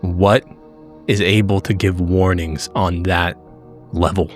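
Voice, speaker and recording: A man improvising in character in a tabletop game, with a blunt, sweary and halting casual delivery. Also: sits low, fairly even intonation. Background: background music.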